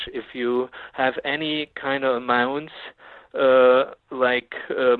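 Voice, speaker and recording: A man's voice: medium pitch, dry recording. Man talking over a tinny phone line. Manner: halting, many fillers and restarts. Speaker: guest author. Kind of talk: phone interview.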